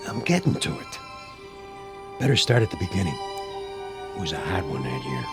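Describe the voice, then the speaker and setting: A man's voice: sits low, big pitch swings, moderate pace, music in the background. An older American man acting in a radio drama as a nostalgic, rambling old baseball fan with a folksy manner. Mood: folksy, reminiscing.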